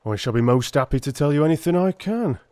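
A man's voice: fairly high pitch, animated, fast, dark tone, no echo, pitched above the performer's own voice, lightly acted. A man voicing a working-class Victorian police constable in an audiobook drama, telling things in a rambling, colloquial way. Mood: grudging, obliging. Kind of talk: dramatised audiobook character acting.

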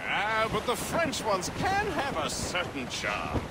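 An actor playing a jovial, talkative first mate on a sailing ship, chatty and full of banter. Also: male voice, high-pitched, slow pace, full character voice. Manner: chatty, lively sailor banter. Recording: loud wind and sea noise.

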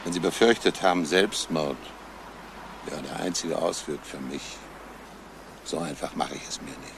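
An actor playing a despairing, self-justifying schoolteacher in a halting, brooding confession, in a crime radio play. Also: male voice, extremely low pitch, vocal fry. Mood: resigned. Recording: noisy old radio play recording.

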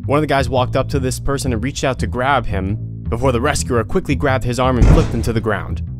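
A man's voice: mid-range voice, a little fast, over music. A man narrating a scripted audio drama as storyteller.

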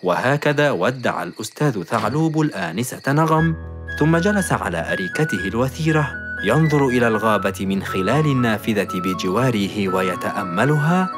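Narrator, calm, children's bedtime story, lively intonation, music behind. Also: medium pitch, fairly quick.

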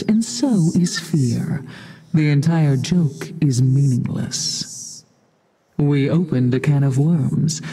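Game role: cold chaos goddess, solemn and commanding, unhurried delivery, lively intonation. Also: female voice, sits low. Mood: cold, imperious. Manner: solemn, commanding, detached, formal. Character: imperious otherworldly chaos goddess, cold and abstract. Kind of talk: video game character voice lines.

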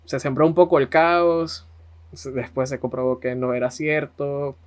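A man's voice: mid-range voice, steady tone, unhurried. A man narrating conversationally as a podcast host.

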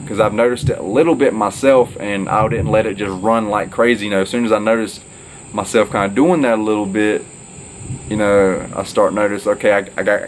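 A man's voice: fairly low pitch, a little hiss or hum, poor audio. A young man talking casually to camera from an outdoor porch, rambling and upbeat with lots of fillers. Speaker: fitness vlogger. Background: crickets and insects.

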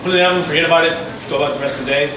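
A middle-aged man, a chef, explaining a dish in a relaxed, enthusiastic way with frequent restarts, on an outdoor restaurant patio. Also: on the high side, rapid-fire. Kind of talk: cooking demonstration. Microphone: far camera. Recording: echoey, distant, dull, noisy outdoor audio.

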